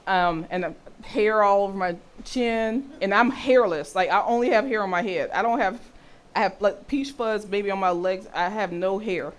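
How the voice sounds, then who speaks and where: A woman with a deep voice for a woman, teaching a health session and often checking in with her listeners. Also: normal speed. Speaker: health and intimacy educator.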